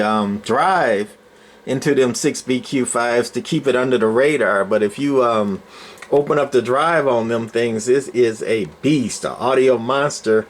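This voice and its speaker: A middle-aged man casually showing off his collection, talking unscripted in a folksy, rambling way as he thinks aloud. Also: mid-range voice. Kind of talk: casual, unscripted show-and-tell narration.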